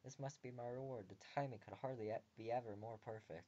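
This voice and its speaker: A man reading a visual novel aloud and breaking off for crude, joking asides, sometimes muttered quietly. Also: mid-range voice, fairly even intonation, fast, muffled recording, background noise.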